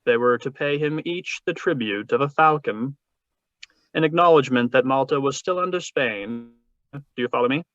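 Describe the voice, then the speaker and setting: A man's voice: mid-range voice, normal speed, a bit bright, no echo, close to an everyday voice. A man playing a suave, wordy older crime boss with pompous, florid politeness in a 1940s-style radio drama over a video call. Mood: grandly storytelling. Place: remote read-through over a video call. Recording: muffled, dry video-call audio.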